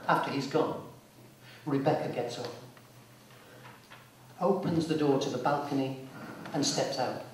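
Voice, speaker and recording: A man narrating a scripted dramatic story live, in a measured, deliberate way. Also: medium pitch. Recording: distant, very echoey hall, clear noise.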